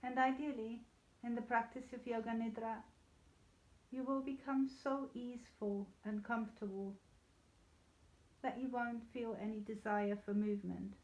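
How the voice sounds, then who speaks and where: Female yoga nidra guide: unhurried, reassuring, dark relaxed tone, slightly dull recording. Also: a little high. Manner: soothing, unhurried, gently reassuring. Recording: slightly dull, echoey room sound.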